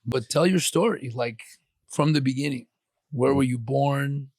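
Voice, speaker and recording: Guy hosting a podcast, raspy gravelly voice, dry close sound, rambles a lot. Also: medium pitch, measured pace, a little mellow, no echo. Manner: encouraging, rambling, with fillers. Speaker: podcast host. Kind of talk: casual interview.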